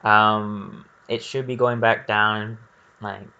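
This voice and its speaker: A man's voice: fairly low pitch, level tone, takes it slowly, pushed and bright, clean tone, dull audio. A man giving casual, rambling gameplay commentary, thinking aloud in a candid and hesitant way. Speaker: gaming video creator.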